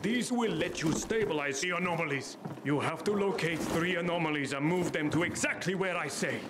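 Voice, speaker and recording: A curt old inventor barks short orders, voiced by a man, his voice set fairly high for a man. He has no patience and speaks in blunt, clipped sentences. The game audio behind him is thick with background noise. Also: fairly quick, close to an everyday voice. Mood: commanding. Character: gruff older male inventor, curt and impatient. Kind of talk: video game cutscene acting.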